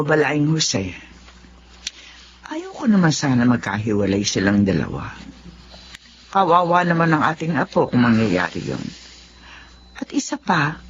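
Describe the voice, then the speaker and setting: A woman's voice: low voice, sing-song, unhurried, pauses often, full character voice. An actress playing a regretful, polite elderly Filipino mother-in-law in a radio drama. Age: older. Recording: dull lo-fi radio recording, faint noise.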